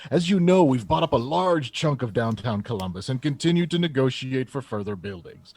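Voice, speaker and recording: An actor playing a confident, combative presidential chief of staff in a radio theater piece, answering in an assertive, polished politician's manner. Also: male voice, mid-range voice, expressive, fairly quick, darkish tone, breathy edge. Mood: assured. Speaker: actor in an audio drama.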